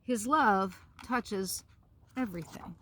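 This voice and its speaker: A woman reading the part of wise, reverent nature spirits from a storybook in a measured manner. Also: medium pitch, bright, forceful tone, a little hiss or hum.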